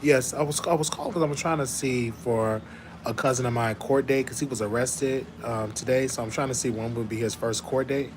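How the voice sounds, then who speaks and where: A man with a mid-pitched voice talks in a laid-back, playful way, half-amused as he chats on a live show. Faint hum sits behind him. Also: fairly quick. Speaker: host. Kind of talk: casual live prank-call chat.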